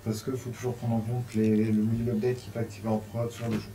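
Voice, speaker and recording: A man with a French accent co-presenting at a tech meetup, speaking haltingly with many fillers. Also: lowish voice, flat delivery, mellow, roomy sound, low-quality recording. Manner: halting, filler-heavy self-introduction. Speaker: co-presenter, technical lead. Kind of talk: casual conference talk. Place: meetup room with audience.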